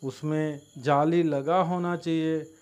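A man giving instructional farming narration in a halting way, breaking his sentences into short phrases. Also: fairly high pitch, steady tone, a little mellow.

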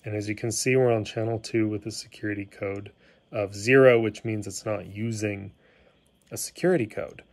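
A man with a fairly deep voice and plenty of vocal fry talks through a hands-on demonstration. He is informal and unscripted, pausing and rambling a little as he explains. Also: steady tone. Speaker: hobbyist demonstrating a gadget. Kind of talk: casual unscripted tutorial. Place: indoors in a living room.

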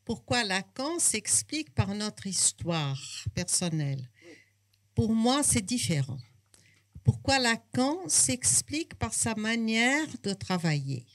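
An older woman, speaking French at a bookshop talk on a handheld mic, reflective and full of restarts. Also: on the high side. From Brazil. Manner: unhurried, reflective, hesitant restarts. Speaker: author and psychoanalyst. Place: bookshop, indoors.

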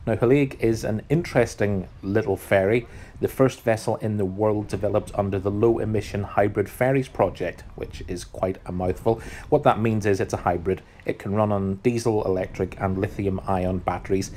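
A man in his forties narrates a travel video as its host. His voice sits low, and there is light background noise under the scripted commentary. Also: fairly even intonation, normal speed, dark tone.

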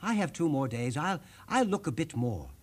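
Man as kind young storybook prince, earnest, hesitant, full of wonder, husky, highly animated intonation. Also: moderate pace. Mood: pondering, considerate.

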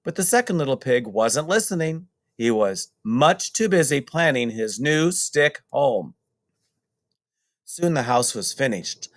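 A middle-aged man narrating a children's story expressively, with deliberate, emphatic phrasing. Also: medium pitch, big pitch swings, no echo, studio quality. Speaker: storybook reader.

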